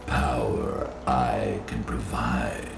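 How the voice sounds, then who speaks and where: Ancient, rumbling, gravelly spirit. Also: male voice, echoey room, music in the background, full character voice. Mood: solemn, commanding. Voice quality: very deep, gravelly, breathy whispering edge. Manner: slow, solemn, formal phrasing. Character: ancient solemn spirit guardian serving the earth goddess. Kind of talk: video game cutscene acting.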